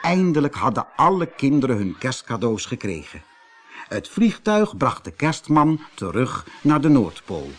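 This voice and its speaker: A man with a Netherlands Dutch accent narrating a scripted children's fairy tale in an expressive storytelling manner. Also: mid-range voice, a little slow.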